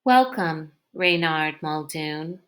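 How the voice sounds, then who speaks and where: A woman's voice: low voice, lively intonation, slow pace, a bit bright, voice pushed down, full character voice. A woman voicing a seductive mind-reading machine in a storybook, coaxing and insinuating. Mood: calm, commanding.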